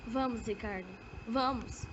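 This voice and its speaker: A dull, noisy amateur recording of a young woman playing a wary Brazilian radio drama heroine. Her voice is high, and she sounds uneasy and hesitant. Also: a little slow, close to an everyday voice. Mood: nervous pleading. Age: young adult.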